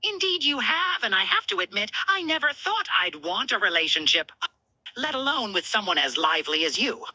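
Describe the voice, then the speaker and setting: A woman's voice: medium pitch, very animated, moderate pace, bright, forceful tone, dry recording, heavily acted. A woman playing a small male alien commander, smug and teasing but affectionate, in a fan fiction dub. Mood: tender, smitten. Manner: teasing, smitten, tender.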